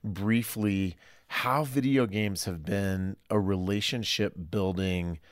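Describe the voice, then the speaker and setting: Low-voiced man hosting a podcast. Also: slow, no room sound, studio quality. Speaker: podcast host.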